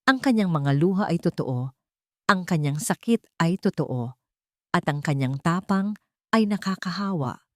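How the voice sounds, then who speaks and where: Female Filipino narrator for dramatic romance audio stories, lowish pitch, dry studio-like sound. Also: animated, a little slow, dry recording. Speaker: story narrator.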